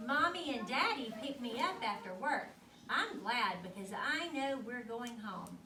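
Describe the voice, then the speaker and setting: A woman reading a picture book aloud to children, expressive and teacherly, pausing to put questions to her young listeners. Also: a little high. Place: echoey indoor room at a school literacy event. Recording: roomy, distant mic with clear background noise.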